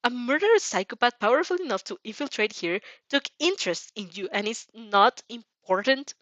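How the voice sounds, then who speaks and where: A woman's voice: fairly high pitch, lively intonation, normal speed, a bit bright, no echo, voice pushed up. A woman acts out a scornful teenage boy student from a fan fiction audio story. He scolds in an incredulous, dramatic manner. The sound is close and dry, a little muffled. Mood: scolding, incredulous. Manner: scathing, incredulous. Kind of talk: amateur fan fiction character reading.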